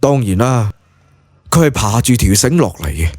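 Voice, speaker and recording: A man's voice: medium pitch, sing-song, moderate pace, a little mellow, no echo, studio quality. A man with a Cantonese accent narrating a scripted story dramatically, as in an audiobook.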